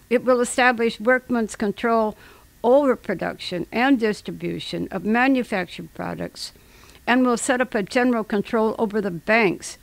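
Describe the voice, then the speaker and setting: A woman giving a dramatic radio reading of an eyewitness account, declamatory and measured, with deliberate pauses. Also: mid-range voice, no room sound, rough recording.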